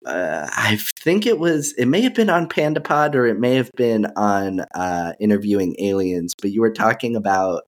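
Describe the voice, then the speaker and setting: A man's voice: lowish voice, animated, moderate pace, few pauses. A man hosting a podcast, asking questions casually, with a hesitant manner and many uhs. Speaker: podcast host. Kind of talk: casual interview.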